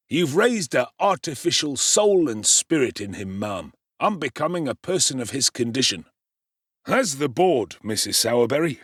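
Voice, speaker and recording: A man voicing a pompous, self-important male parish beadle who lectures with slow, stern emphasis. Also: mid-range voice, breathy edge, dry recording, studio quality, pitched above the performer's own voice.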